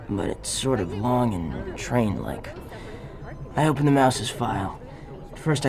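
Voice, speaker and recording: A man playing a weary, brooding army captain, narrating deadpan in a radio drama parody. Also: medium pitch, lightly acted. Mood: puzzled, deadpan.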